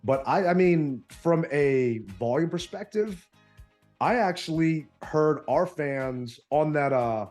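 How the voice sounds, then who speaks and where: A man co-hosting a sports podcast, telling stories in an animated, casual way, his voice a bit strained from yelling. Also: fairly high pitch, slow, soft background music. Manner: animated storytelling. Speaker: podcast co-host.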